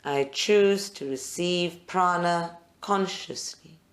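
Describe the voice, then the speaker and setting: A meditation guide leads a live group session in short, deliberate phrases with pauses between them. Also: mid-range voice.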